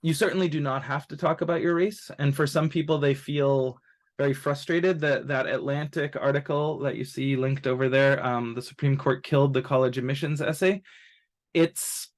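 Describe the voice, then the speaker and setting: A man presenting an informal webinar as an advisor, explaining things in a hesitant, halting way with many filled pauses. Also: mid-range voice, steady tone. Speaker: college admissions advisor and presenter.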